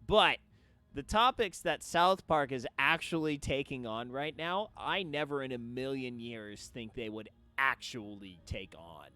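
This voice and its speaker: A man with a high tenor voice, a game streamer chatting casually and playfully during a live stream. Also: expressive, measured pace, sharp tone, clear, resonant tone. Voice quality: self-described high tenor. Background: video game music.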